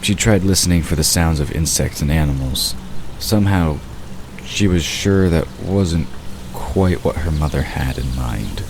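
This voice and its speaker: A man narrates a fantasy audio drama in a calm, measured delivery. His voice is extremely low and deep. A clear background hiss runs under the recording.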